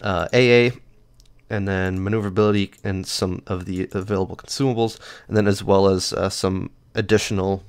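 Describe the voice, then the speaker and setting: A man's voice: sits low, fairly even intonation, fairly quick, clear, resonant tone. A man hosting a gaming channel, casually walking through ship statistics in a rambling, offhand way with many fillers and restarts. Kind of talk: casual gameplay stats walkthrough.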